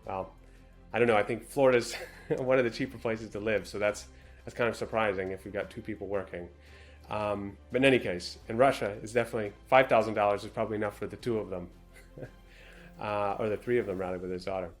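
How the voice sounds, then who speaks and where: This is a man co-hosting a relaxed talk show, running through a listener's letter at a fast clip. His voice sits a bit low, and he talks in a brisk, matter-of-fact way. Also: faint music behind. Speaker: co-host. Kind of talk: casual podcast chat, reading a viewer letter aloud.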